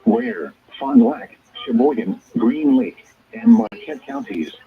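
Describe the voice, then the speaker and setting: A man's voice: high-pitched, wildly swooping pitch, measured pace, gravelly. A man's synthetic, stilted voice reading scripted weather alerts formally as a radio announcer, in choppy phrases. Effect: weather radio broadcast. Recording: tinny, narrow-band weather radio signal.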